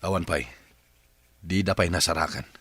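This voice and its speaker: A man's voice: low-pitched, moderate pace, pushed and bright, breathy edge, dry recording, full character voice. An actor playing a stern, middle-aged wealthy man in a radio drama, terse and defensive. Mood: firm, defensive. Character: wealthy, stern husband searching for his lost child.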